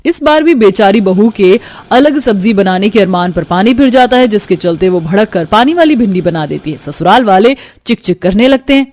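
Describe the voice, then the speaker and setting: A woman's voice: medium pitch, expressive, moderate pace, hardly any pauses, a little mellow. A woman storyteller reading scripted narration of a moral tale.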